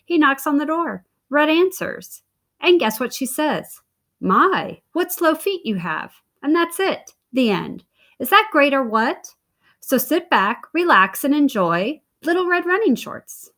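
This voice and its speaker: A bossy, boastful storybook boy who can't wait to tell his own tale, as a woman puts him on. The voice sits high and swoops about, pushy and excitable, rushing the words. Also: heavily acted. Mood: eager. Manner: pushy, excitable, talks fast.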